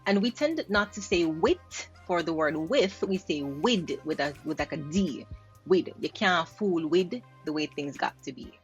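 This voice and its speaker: A woman's voice: low voice, expressive, measured pace, soft background music, low-quality recording. A Jamaican woman linguist explaining pronunciation. She repeats words aloud to show how they sound and laughs lightly. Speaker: linguist host. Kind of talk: explaining pronunciation while reacting to clips.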